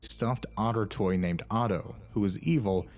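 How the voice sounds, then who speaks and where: A man's voice: lowish voice, a little slow, darkish tone. A man reading scripted, documentary-style narration for an online video.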